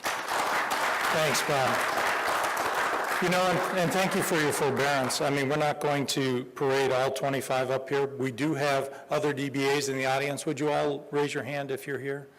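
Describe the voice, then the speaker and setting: A man's voice: medium pitch, fairly even intonation, moderate pace, fairly bright tone, in a large hall, some chatter behind, slight background noise, poor audio. A man moderating a conference session, introducing speakers in a casual, friendly way.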